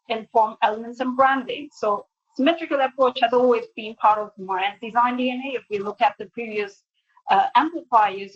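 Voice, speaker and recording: A woman giving a prepared design presentation as a creative director over a video call. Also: mid-range voice. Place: bright indoor room on a video call. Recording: dull, lo-fi video call audio.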